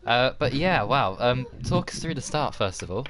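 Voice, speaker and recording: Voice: mid-range voice, animated, moderate pace, fairly bright tone, slight background noise. A host commentator on a sim racing livestream, enthusiastic, with many ums and exclamations. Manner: enthusiastic, filler-heavy.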